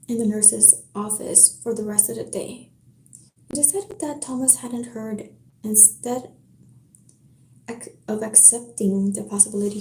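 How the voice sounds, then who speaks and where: A woman with a French accent, chatting easily on a live stream and reading a novel aloud in a soft-spoken way. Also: a little high. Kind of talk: casual live-stream chat and book reading. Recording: roomy echo.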